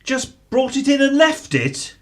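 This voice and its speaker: A man's voice: very high voice, sing-song, measured pace, heavily acted. An actor playing a suspicious, sarcastic middle-aged British husband and father in a radio drama. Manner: sneering, mock-salesman sarcasm.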